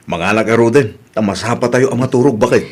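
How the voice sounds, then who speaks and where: A man's voice: mid-range voice, quick pace, lightly acted. A man playing an easygoing, mildly grumbly village husband in a radio comedy drama, questioning and colloquial, with a Filipino accent. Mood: easygoing, defensive.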